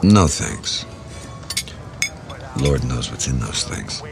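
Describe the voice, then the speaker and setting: Very deep-voiced gruff detective. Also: male voice, very animated, slow pace, faint music behind, exaggerated voice. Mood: dry, teasing. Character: gruff, dry-humored veteran male police detective. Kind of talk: noir-style audio drama acting.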